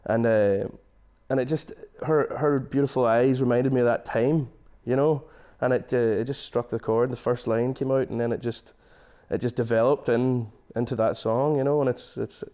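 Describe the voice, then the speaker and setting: Man, Donegal Irish accent, mellow dark tone, halting, lots of restarts, fades at ends of phrases. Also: medium pitch, steady tone. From Donegal, Ireland. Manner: hesitant, many restarts, trails off softly. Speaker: singer-songwriter guest. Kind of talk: casual interview chat. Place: radio studio.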